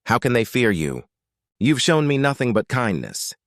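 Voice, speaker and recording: A British man voicing a kind, earnest young fairytale woman with a soft, polite way of talking. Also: medium pitch, sing-song, moderate pace, dry recording, clean studio sound, voice pushed up. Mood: tender concern.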